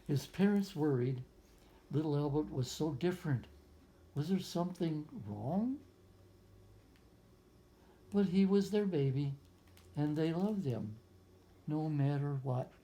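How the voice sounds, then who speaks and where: A physicist reading a picture book aloud to young children, gently explaining things and adding musing asides and questions. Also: medium pitch, slow pace, long pauses.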